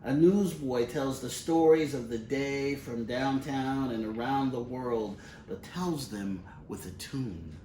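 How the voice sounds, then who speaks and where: A man reading a children's storybook aloud expressively, in measured, deliberate phrases with pauses. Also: mid-range voice, dark tone. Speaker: picture book reader. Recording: roomy, distant mic with faint noise.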